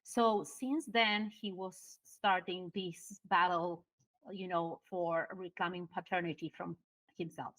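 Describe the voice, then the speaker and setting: A woman's voice: fairly low pitch, steady tone, slow pace, fairly bright tone, rough recording. A woman from Argentina with an Argentine Spanish accent, a Spanish speaker explaining a story in English as a podcast guest, with many fillers and non-native grammar. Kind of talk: conversational explaining. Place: at home in Argentina.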